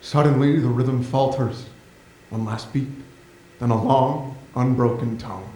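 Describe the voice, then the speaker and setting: A man's voice: mid-range voice, steady tone, measured pace, dark tone. A man reading stage directions as scripted narration at a staged theatre reading. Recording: echoey theatre with faint noise.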